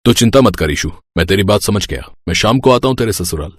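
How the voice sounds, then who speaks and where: A man's voice: on the low side, animated, fast. A man playing a firm, reassuring young doctor in a moral story. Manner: assured, advising.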